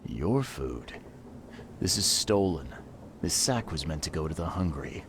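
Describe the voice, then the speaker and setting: An actor playing a gruff, weary investigator in a video game, plain-spoken and terse, with a calm, fair-minded manner. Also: male voice, rumbling low, a little slow, a little hiss or hum, exaggerated voice. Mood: stern, puzzled. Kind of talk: video game cutscene dialogue.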